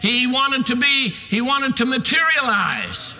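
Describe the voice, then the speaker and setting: Male preacher, 60s, very high forceful voice, emphatic sermon delivery, recorded in a reverberant hall. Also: lively intonation. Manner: emphatic, declamatory delivery with drawn-out stressed phrases. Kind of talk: fervent sermon. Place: large church sanctuary, at a pulpit. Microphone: podium microphone.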